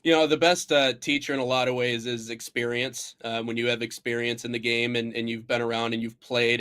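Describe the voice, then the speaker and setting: A man's voice: mid-range voice, fairly bright tone. Encouraging male talk show host. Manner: encouraging, with frequent short affirmations. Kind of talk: interview questions.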